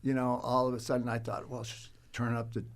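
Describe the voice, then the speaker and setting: A man chatting casually as a podcast guest, telling stories in a halting, conversational way. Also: mid-range voice, completely flat delivery. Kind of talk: casual chat.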